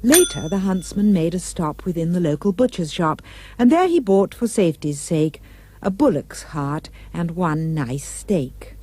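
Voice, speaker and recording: A woman's voice: on the low side, lively intonation, measured pace, warm tone, dry recording. A woman narrating a rhyming storybook tale for a puppet show with dramatic, emphatic delivery.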